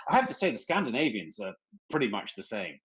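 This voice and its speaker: Middle-aged man, British accent, emphatic and animated, conversational storyteller who doubles back on words. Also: medium pitch, fast, low-quality recording. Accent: British English. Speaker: company executive on livestream. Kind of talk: casual anecdotal chat.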